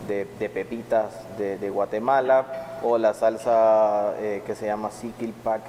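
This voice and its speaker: A man's voice: fairly low pitch, flat delivery, a little slow, mellow. A man speaking as a chef on a panel, reflective and hesitating often. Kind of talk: conference discussion. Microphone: video call. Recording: reverberant room, faint noise.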